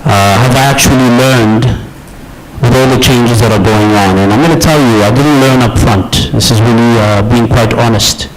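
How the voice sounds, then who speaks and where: A man's voice: medium pitch, normal speed, faint music behind, poor audio. A middle-aged man from Ethiopia who grew up in the US, giving an informal conference speech as an executive, often checking in with the audience. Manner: conversational, checks in with "yeah?" and "okay?". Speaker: company executive, conference speaker.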